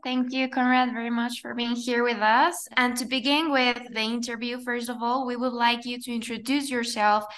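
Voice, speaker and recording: A woman's voice: a little high, fairly even intonation, normal speed, few pauses, fairly bright tone. Woman hosting a podcast in a formal tone. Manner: formal, polite, read-aloud delivery. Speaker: podcast host. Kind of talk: scripted intro and interview questions.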